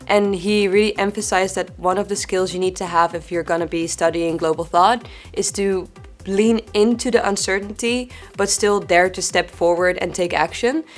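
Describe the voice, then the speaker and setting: A young woman from the Netherlands in her twenties, a graduate student speaking in a thoughtful, measured way in an interview testimonial. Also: medium pitch.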